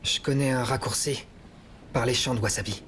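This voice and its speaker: A man's voice: medium pitch, fairly even intonation, normal speed, a bit bright, slight background noise, lightly acted. A man voicing a practical, friendly teenage boy leading his friends in a French game dub.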